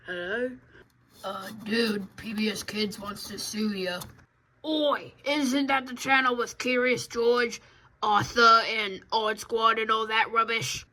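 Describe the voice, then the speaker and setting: A woman puts on a bossy male lizard president who barks and grumbles at everyone in a cheeky, rough way. The voice sits at a middle pitch. It carries a faint hiss of noise under it. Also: bright, forceful tone. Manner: brash, keeps barking "oi" and "bloody".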